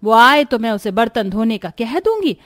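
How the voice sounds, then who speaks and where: A woman's voice: fairly high pitch, sing-song, moderate pace, no room sound. A woman voicing a greedy middle-aged mother-in-law in a moral cartoon story, with a melodramatic delivery. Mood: weary.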